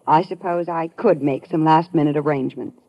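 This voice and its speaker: An actress playing a coy, slyly innocent young travel agent in an old-time radio drama, on a dull, band-limited recording. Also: female voice, low voice, brisk. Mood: guarded innocence.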